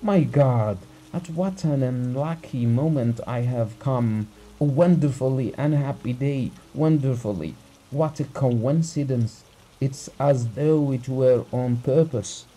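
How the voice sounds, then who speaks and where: A man voicing a frantic, pleading gentleman. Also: mid-range voice, voice pushed up, rough recording. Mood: distraught. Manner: breathless, rushed, pleading. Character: frantic middle-aged gentleman, desperate and pleading.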